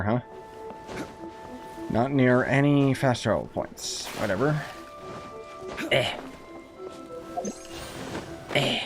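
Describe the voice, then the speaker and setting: A young man giving casual, joking, sarcastic commentary while playing a video game. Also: medium pitch. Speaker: gaming commentator. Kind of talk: casual let's-play commentary.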